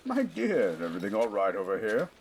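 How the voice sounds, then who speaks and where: A man playing a hearty middle-aged English lord and expedition leader in a 1920s audio drama, measured and old-fashioned, with an English accent. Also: fairly low pitch, wildly swooping pitch, a little hiss or hum. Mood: hearty, encouraging.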